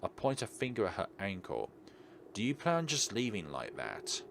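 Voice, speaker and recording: Lively, low-voiced man narrating a game. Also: normal speed, creaky, background noise. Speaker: let's player reading aloud. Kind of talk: expressive read-aloud narration of a visual novel. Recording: game music behind the voice.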